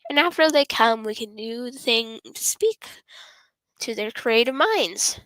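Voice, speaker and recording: A woman voicing a bubbly, earnest teenage schoolgirl in a visual novel, animated and teasing. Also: on the high side, a little slow, dry recording, rough recording.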